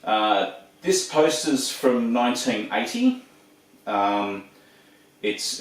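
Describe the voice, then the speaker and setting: A man in his forties with an Australian accent, chatting casually about his collection with lots of ums and restarts. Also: medium pitch. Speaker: video host. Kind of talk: casual show-and-tell. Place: room full of shelves and posters. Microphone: camera across the room. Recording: echoey room, distant mic.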